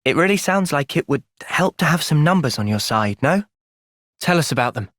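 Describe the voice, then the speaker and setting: Earnest young English soldier hero, close and dry. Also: male voice, a little high, animated, normal speed, fairly bright tone, no echo. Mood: calm, earnest. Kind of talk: video game cutscene voice acting.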